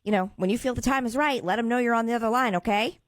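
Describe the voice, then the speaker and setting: A woman with a slightly high voice gives a phone sales pitch. She is chatty and polite, and the sound is dry and close. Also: fast, no echo. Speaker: prank caller posing as a florist's agent.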